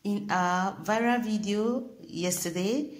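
A woman presenting an online news report, reading with pauses, fillers and restarts. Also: mid-range voice. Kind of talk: read news report with commentary.

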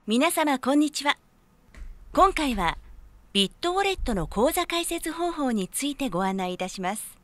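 A woman's voice: high-pitched, very animated, normal speed, a bit bright, no room sound. A woman radio DJ reading scripted commercial narration. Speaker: radio DJ and voice-over artist. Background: music.

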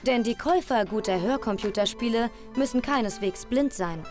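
Sprecherin eines Magazinbeitrags über lauter Musik. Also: female voice, a little high, a little fast. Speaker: reporter voice-over. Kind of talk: scripted magazine report narration. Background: loud music.

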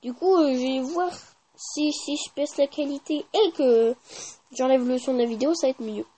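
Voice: high-pitched, normal speed, dark tone. A gaming YouTuber giving casual, informal gameplay commentary with slang and swearing.

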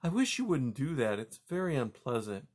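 A man voicing a gentle, anxious mother mouse in a storybook, speaking politely and earnestly with some hesitation. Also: mid-range voice, sing-song, normal speed. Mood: patient, reproving.

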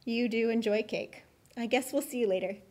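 A woman's voice: fairly high pitch, brisk, darkish tone. A young woman hosting a children's puppet show, speaking simply to little ones in a coaxing, reassuring way. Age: young adult. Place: small classroom, indoors, seated at a table.

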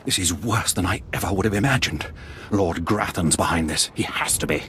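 An actor playing a stern, indignant older military veteran in a video game. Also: male voice, low voice, a little fast, a bit bright, a little hiss or hum. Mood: grave. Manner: stern, grumbling, earnest. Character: indignant veteran male Imperial officer, older, disgruntled, grave. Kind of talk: video game cutscene acting.

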